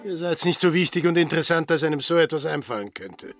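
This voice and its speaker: An actor playing an aloof, laconic sailor with a dry, evasive manner in a radio drama. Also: male voice, fairly high pitch, lively intonation, fast, soft background music. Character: aloof, laconic sailor, a solitary village outsider.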